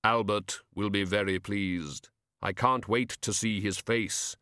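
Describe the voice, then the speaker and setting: A man voicing a solemn otherworldly apparition in an audiobook, speaking slowly, deliberately and politely. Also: fairly low pitch, steady tone, sharp tone, smooth, no echo, clean studio sound. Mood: quietly pleased. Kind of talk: audiobook character voice.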